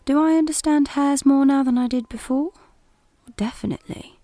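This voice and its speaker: An actress playing a haunted academic researcher with a secret, speaking an introspective, confiding monologue in an audio drama. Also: female voice, sits high, normal speed, mellow, no room sound, studio quality, close to an everyday voice. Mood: wry, wistful.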